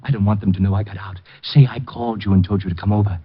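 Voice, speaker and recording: A panicky, husky-voiced frightened man. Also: lowish voice, fairly even intonation, fast talker. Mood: urgent. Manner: pleading, panicky, stammering. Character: nervous, frightened man who thinks he is hunted. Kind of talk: 1940s radio acting. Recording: dull lo-fi old radio recording.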